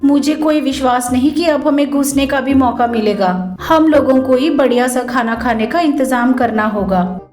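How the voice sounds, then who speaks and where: Woman acting cheeky young daughter-in-law, very high voice, whiny, argumentative, greedy, comic story, music. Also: normal speed, darkish tone, echoey room, natural voice.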